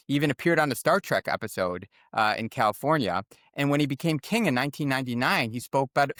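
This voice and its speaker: A man's voice: medium pitch, a bit bright, no echo, studio quality. A man with an American accent, an author being interviewed, giving articulate and orderly explanatory answers. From United States. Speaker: guest author and former journalist.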